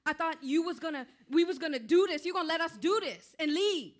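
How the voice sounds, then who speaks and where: A woman's voice: extremely high pitch, expressive, fairly quick. A woman playing a hurt, proud, angry young woman in a staged play reading, colloquial and confrontational.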